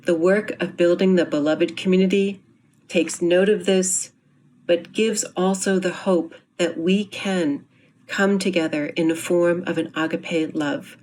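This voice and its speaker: A woman giving a prepared, formal speech with earnest, measured phrasing. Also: on the low side, fairly even intonation, darkish tone. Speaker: speaker introducing a university theatre group.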